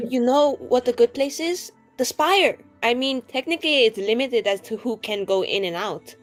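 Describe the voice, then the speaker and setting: A woman casually roleplaying at a tabletop game, expressive and quick to joke. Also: a little high, soft background music. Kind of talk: casual, joking in-character roleplay and table talk.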